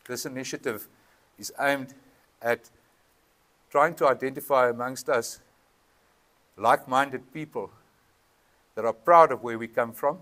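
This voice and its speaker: A South African man opens a community meeting in a town hall, speaking through a PA microphone. His delivery is earnest and halting, with frequent ums and a mid-range voice. He drifts between Afrikaans and English as he talks. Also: medium pitch, a bit bright. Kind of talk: public address at a town meeting. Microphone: PA microphone with feedback.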